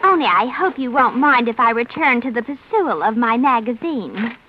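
On a dull, faintly noisy old radio recording, a woman acts a high-voiced American dame with very lively swoops. She is prim and naive, speaking with an affected politeness in the manner of 1940s comedy-adventure radio. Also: normal speed, close to an everyday voice. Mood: coolly polite. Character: prim, naive, chirpy dame who fancies herself refined.

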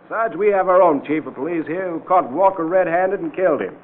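Pompous male town doctor, played by a man, 1940s radio drama. Indignant, highish pitch, narrow vintage recording. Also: animated, fairly quick, a little hiss or hum, close to an everyday voice. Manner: pompous, protesting. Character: indignant small-town male doctor defending the police chief. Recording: tinny, band-limited vintage radio recording.

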